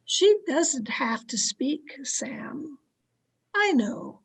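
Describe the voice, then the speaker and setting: A woman acting a domineering, fearful, haunted wife in an old-time radio thriller, with an imperious, dramatic delivery full of halting dread. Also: high-pitched, big pitch swings, creaky, full character voice. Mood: hushed dread.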